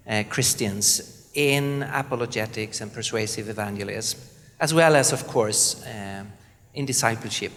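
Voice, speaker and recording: A man from southern Sweden with a Swedish accent, introducing himself on a panel in an earnest, halting way with many fillers. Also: mid-range voice, a bit bright. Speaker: panelist, apologetics speaker. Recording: reverberant hall.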